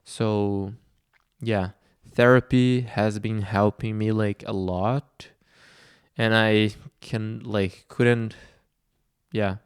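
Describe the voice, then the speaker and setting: Man, slow unhurried talk, very clear dry voice, hesitant with fillers. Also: lowish voice, steady tone, no echo. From Brazil. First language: Portuguese. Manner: hesitant, many fillers and restarts. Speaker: vlogger. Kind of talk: reflective personal talk.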